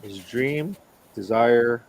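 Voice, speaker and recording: A man's voice: medium pitch, very slow, creaky, poor audio. A man chatting casually in a tentative way, often checking with questions. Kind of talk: casual chat.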